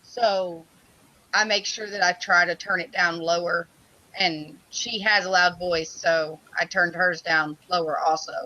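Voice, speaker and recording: A woman with a loud voice chatting casually on a computer microphone while streaming art work. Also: on the low side, fairly even intonation, unhurried, dull audio. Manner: loud voice, says so herself. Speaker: community project lead. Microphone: computer microphone on a voice chat.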